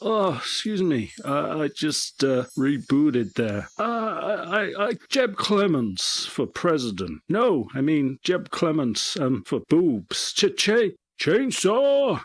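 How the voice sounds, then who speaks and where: A man playing a halting, naive tech-guru character who stammers and rambles through a comedy radio monologue. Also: fairly high pitch, very animated, heavily acted. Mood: glitchy, excited. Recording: noisy recording with a hum.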